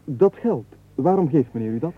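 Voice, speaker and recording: An actor playing a penniless, wily squire and unstoppable babbler in a comic radio play, with flowery, wordy, mock-deferential speech. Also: male voice, on the high side, lively intonation, normal speed, husky. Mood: curious. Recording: muffled narrow-band 1980s radio recording.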